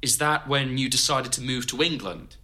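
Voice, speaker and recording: An actor playing a curious, sympathetic oral-history interviewer, asking questions in a conversational way. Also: male voice, medium pitch, normal speed, sharp tone.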